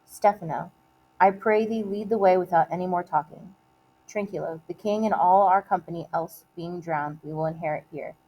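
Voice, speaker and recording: Woman, slightly low pitch, dark soft-edged tone, measured teacherly read-aloud, deliberate phrasing. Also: low-quality recording. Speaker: teacher reading a test aloud. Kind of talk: read-aloud of multiple-choice questions and quoted play lines.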